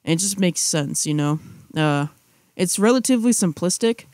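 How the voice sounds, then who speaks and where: A man's voice: sits high, animated, moderate pace, a little mellow, no echo. A young man chatting casually as a podcast co-host, rambling with restarts and fillers. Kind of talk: casual chat.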